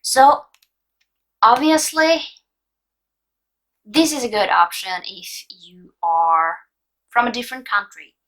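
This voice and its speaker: A woman in her early twenties with a Norwegian accent, a native Norwegian speaker, giving relaxed book advice in a vlog with many fillers and pauses. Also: on the high side, rough recording. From Norway. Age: 20s. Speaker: book vlogger.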